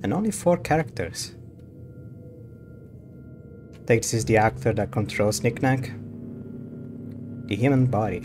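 A man's voice: on the low side, expressive, moderate pace. A man giving casual gameplay commentary as a streamer, musing to himself and reading text aloud. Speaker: game streamer. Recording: music behind the voice.

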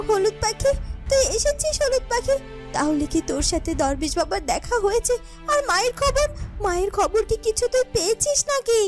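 A gentle young bride voiced by a woman, very high and lively in pitch, affectionate yet secretive. It is fairy-tale cartoon dubbing with music clearly behind. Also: moderate pace. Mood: excited.

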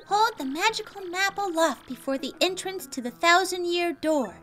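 A woman's voice: very high voice, expressive, moderate pace, a bit bright, faint music behind. A woman voicing a perky, brainy, sassy young female game creature, with emphatic valley-girl phrasing. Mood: eager.

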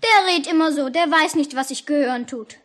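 A woman's voice: high voice, fairly quick, a bit bright. A woman playing a chatty, naive and curious young girl in a 1950s radio play. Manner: artless, chattering.

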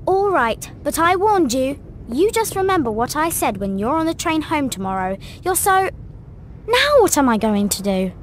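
A prim schoolgirl witch in a video game, high and very animated. She is a bossy know-it-all, earnest and scolding, with a faint rumble of noise behind her. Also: moderate pace. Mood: indignant.

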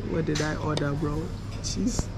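A man's voice: a little high, steady tone, measured pace. A man vlogging to camera while traveling, chatty and upbeat, talking from an airport and plane on a handheld camera. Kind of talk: vlog monologue to camera. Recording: background noise of airport.

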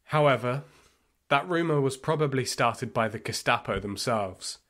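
An actor playing a reflective football fan, narrating his memories of the Nazi era in an audio play in a measured, wry way. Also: medium pitch, expressive, fairly bright tone. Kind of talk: first-person dramatic storytelling in an audio play.

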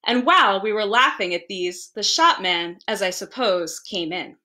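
A woman reading a story aloud as narrator, with deliberate, theatrical phrasing. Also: mid-range voice, expressive, pushed and bright. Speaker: library staff reader.

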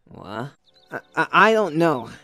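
A woman's voice: low voice, sing-song, slow pace, pushed and bright, soft background music, heavily acted. A woman voicing a guilt-ridden, downcast teenage boy in a cartoon comic dub. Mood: self-blaming. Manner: self-blaming, downcast.

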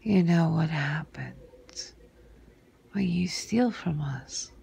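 Woman acting a breathy, very slow, menacing vampire boss. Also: low voice, lively intonation, warm tone, exaggerated voice. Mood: mock-sweet menace. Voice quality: breathy, husky, often sinking into a whisper. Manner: slow, deliberate, menacing drawl with long pauses. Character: menacing female vampire crime boss, cold and sardonic. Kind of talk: ASMR roleplay.

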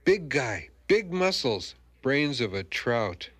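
An actor playing a wisecracking, laid-back cartoon ghost hunter, sardonic and glib. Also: male voice, medium pitch, very animated, slow pace, a bit bright, slightly rough, heavily acted. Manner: sardonic, glib, self-important. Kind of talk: cartoon voice acting. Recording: music behind the voice.

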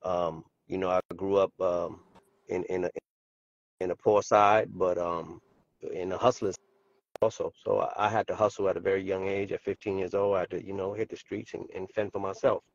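A man from the South Bronx talking haltingly, with many fillers, as a podcast guest on a video call. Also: sits low, level tone, creaky, no echo, muffled recording. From South Bronx, New York. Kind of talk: casual podcast talk.

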